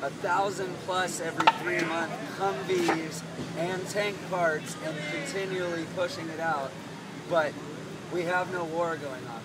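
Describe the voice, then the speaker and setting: A man speaks up from the audience at an outdoor meeting, hesitant and leaning on fillers as he makes his point. His voice is slightly high. Loud outdoor noise surrounds him. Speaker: audience member. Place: outdoor public gathering.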